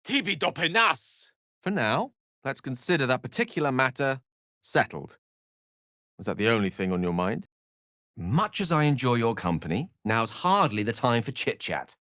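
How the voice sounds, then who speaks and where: A man's voice: mid-range voice, very animated, moderate pace, pushed and bright, no room sound, studio quality. A man voicing a courteous, self-assured wizard companion in a video game, articulate and wryly theatrical. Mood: incanting, then measured. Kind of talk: video game character voice lines.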